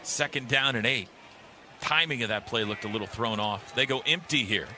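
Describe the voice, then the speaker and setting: American male play-by-play announcer, average pitch, short punchy calls, live outdoor broadcast with clear background noise. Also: normal speed, bright, forceful tone. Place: outdoor football stadium.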